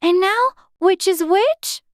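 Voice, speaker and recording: A timid little storybook girl with an extremely high voice. She is polite and proper, easily flustered and given to pleading. The recording is close and dry. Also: slow, dry recording. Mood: puzzled, musing. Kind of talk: dramatized storybook reading.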